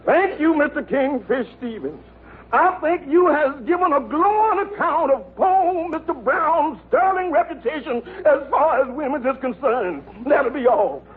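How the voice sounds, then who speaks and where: Squeaky, blustering little lawyer. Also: male voice, expressive, measured pace. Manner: blustering, florid. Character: cocky, excitable little male defense lawyer, easily cowed. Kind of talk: old-time radio sitcom acting. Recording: tinny old radio, faint noise.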